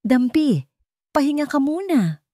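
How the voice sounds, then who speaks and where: A guarded young Filipino policewoman working undercover, played by a woman narrator. She speaks in a measured, careful way, conflicted but controlled, as in an audio storybook, with a very dry sound. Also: high voice, big pitch swings, dark tone, dry recording, voice pushed up. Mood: gentle, careful.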